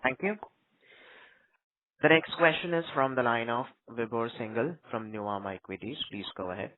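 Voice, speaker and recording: A man's voice: mid-range voice, normal speed, slight background noise. A man working as a conference operator, reading scripted announcements in a formal, procedural way. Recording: narrow tinny telephone line.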